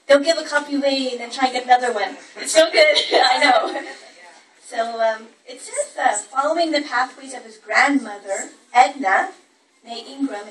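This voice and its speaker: A woman's voice: sits high, fairly bright tone. A woman hosting a live literary event, introducing a reader informally and partly from notes. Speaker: event host introducing a poet. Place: echoey room at a live event. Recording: echoey, distant, faintly noisy room recording.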